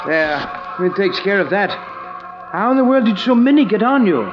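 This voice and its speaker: A man's voice: sits high, animated, moderate pace, music in the background. A man playing a fearful, paranoid professor in a 1940s radio drama, agitated and anxious. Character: fearful older male professor, paranoid about insects. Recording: dull old radio recording.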